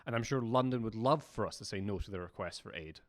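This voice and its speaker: A man's voice: fairly low pitch, fast talker, natural voice. A man playing a loyal, diplomatic vampire deputy in an audio drama, formal and composed. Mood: reproving.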